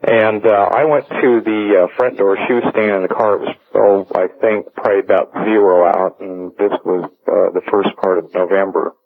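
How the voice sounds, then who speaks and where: A middle-aged man with a fairly low voice tells a personal story as a radio caller. He goes deliberately, stopping often to hesitate and gather words. His voice comes through a narrow, phone-like connection. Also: fairly even intonation, creaky. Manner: halting, deliberate, many long hesitations.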